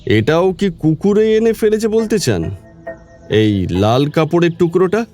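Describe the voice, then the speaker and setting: An actor playing a courteous but suspicious local police officer in an audio drama, asking probing questions. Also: male voice, fairly high pitch, lively intonation, a little slow, dark tone, soft background music. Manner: probing questions, hesitant repeated phrases. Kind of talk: Bengali audio drama acting.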